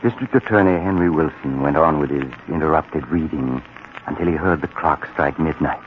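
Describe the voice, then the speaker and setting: An actor playing an ominous storyteller, narrating old-time radio horror in a solemn, measured way. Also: male voice, extremely low pitch. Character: ominous storyteller, a doctor of madness. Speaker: host and narrator. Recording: tinny, noisy old radio recording.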